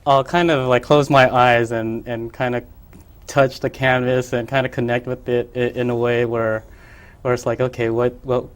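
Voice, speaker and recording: Soft-spoken man with halting speech. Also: mid-range voice, little pitch movement, smooth. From Philippines. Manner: hesitant, many fillers and restarts. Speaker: artist guest. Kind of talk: casual interview. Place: local cable TV studio.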